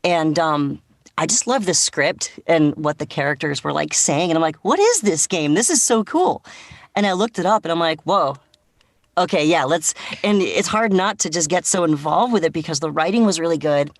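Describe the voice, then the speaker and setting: A woman voice actor chatting casually and excitedly on a panel, slipping into quoted reactions in an informal way. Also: deep voice, normal speed, no echo. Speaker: voice actor and casting helper.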